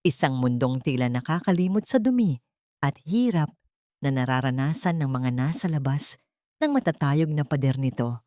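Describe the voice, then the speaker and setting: A woman's voice: extremely low pitch, lively intonation, normal speed, warm tone, no echo, clean studio sound. A woman narrator reading a scripted audio drama in a dramatic storytelling style.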